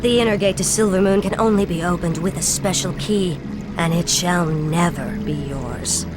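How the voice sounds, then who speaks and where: A woman's voice: on the low side, moderate pace, loud music behind the voice, lightly acted, rough recording. An actress playing a proud, scornful female elf ranger general in a video game cutscene. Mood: defiant.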